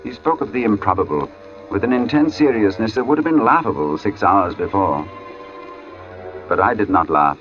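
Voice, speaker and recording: Male actor as brooding artist, lowish male voice, measured dramatic narration, vintage radio suspense, dull old recording with prominent music. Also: expressive, lightly acted. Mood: measured, uneasy. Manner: measured, dramatic, deliberate phrasing. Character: brooding, uneasy male artist recounting an ominous day. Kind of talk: 1940s radio suspense acting, first-person narration.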